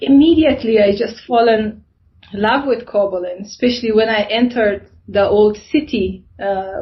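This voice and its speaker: Through slightly dull, low-fidelity audio, a woman from Afghanistan talks in a middle-pitched voice. English is not her first language, and she tells personal stories as an interview guest, hesitating as she looks for the right words.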